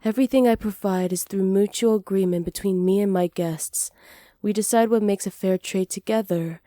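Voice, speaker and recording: Soft, mellow, flirty witch acted by a woman. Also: mid-range voice, moderate pace, no room sound, studio quality, close to an everyday voice. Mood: flattering, reassuring. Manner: soft-spoken, teasing, flattering, partly whispered. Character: flirty, hospitable witch potion seller, sly and self-assured. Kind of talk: ASMR roleplay, slow scripted acting.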